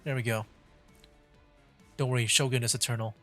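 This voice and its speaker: Man, video game streamer, average pitch, rambling casual commentary, clear game music behind. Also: steady tone, a little fast, a bit bright. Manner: rambling, thinking aloud.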